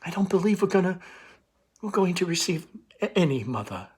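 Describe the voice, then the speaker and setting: A man's voice: high-pitched, very animated, fairly quick, a little mellow, breathy edge, pitched above the performer's own voice, exaggerated voice. Man reading a shy, hesitant young daughter. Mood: nervous, hesitant. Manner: hesitant, subdued.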